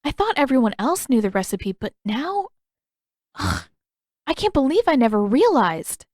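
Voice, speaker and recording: An earnest young teenage girl from a storybook, curious and a little unsettled, voiced by a woman narrator. Her voice sits slightly high, in a very dry recording. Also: sing-song, normal speed, a little mellow, dry recording. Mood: bewildered. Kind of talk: audiobook character dialogue.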